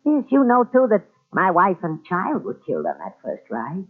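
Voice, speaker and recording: A man's voice: sits high, wildly swooping pitch, normal speed, faint music behind, heavily acted. An actor playing a deranged, vengeful old subway motorman in a radio thriller, rambling and eerie. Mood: brooding, gloating. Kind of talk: 1940s radio thriller acting. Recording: tinny, band-limited old radio recording.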